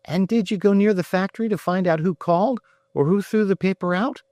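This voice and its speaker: A man's voice: high-pitched, brisk, darkish tone, no room sound, studio quality, voice pushed up, lightly acted. A man voicing an eager, anxious young male bank clerk who speaks urgently.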